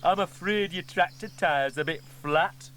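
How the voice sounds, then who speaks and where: A man's voice: high voice, measured pace, a bit bright, slight background noise, voice pushed up. A man voicing a clumsy, cheeky odd-job helper in a children's cartoon, comic and colloquial. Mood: apologetic. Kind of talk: children's cartoon character acting.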